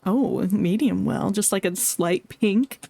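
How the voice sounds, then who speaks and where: A woman's voice: mid-range voice, slow pace, vocal fry, mellow. A woman livestreaming a game with casual, jokey commentary, giggly and mock-dramatic. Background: game music.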